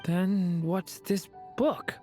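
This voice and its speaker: A woman playing a gentle, shy, earnest young boy in a video game, speaking politely and hesitantly. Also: fairly low pitch, drawn-out words. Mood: puzzled. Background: background music.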